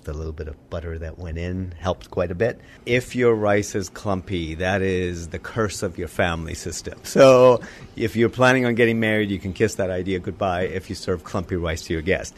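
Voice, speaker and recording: A man's voice: deep voice, slight background noise. A man from Iran, a guest who teaches cooking, explaining things in an informal, conversational way in a studio.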